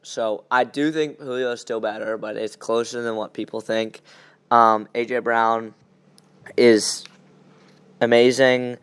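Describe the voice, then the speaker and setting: A man's voice: mid-range voice, steady tone, measured pace, a bit bright, a little hiss or hum. A young man hosting a podcast, chatting casually and giving blunt opinions on sports. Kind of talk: casual sports chat, game predictions.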